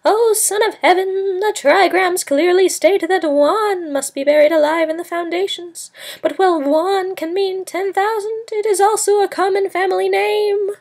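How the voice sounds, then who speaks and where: A woman voicing a pompous, crafty male court soothsayer in a storybook, with a shrill, screechy, declamatory delivery. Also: fairly even intonation, moderate pace, gravelly, a little mellow. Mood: bellowing, insistent. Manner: declamatory, bellowing.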